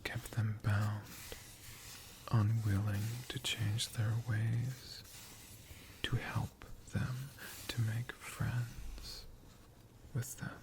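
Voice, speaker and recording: A man telling a story in a hushed ASMR whisper, in short halting phrases with long pauses between them. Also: on the low side, flat delivery, background noise. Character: friendly storytelling dragon.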